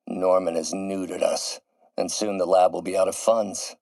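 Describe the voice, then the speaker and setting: An actor voicing a grandiose, middle-aged scientist turning villain in a video game, theatrical and erudite, with precise enunciation. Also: male voice, low voice, flat delivery, normal speed, creaky, a little mellow, no room sound, low-quality recording. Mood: dejected. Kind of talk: video game voice acting, dramatic monologue.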